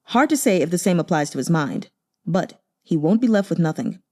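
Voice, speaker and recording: A woman's voice: lowish voice, big pitch swings, brisk, dark tone. A woman voicing a cold, condescending, money-loving male master physician in an audiobook story, curt and unruffled. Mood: brusque.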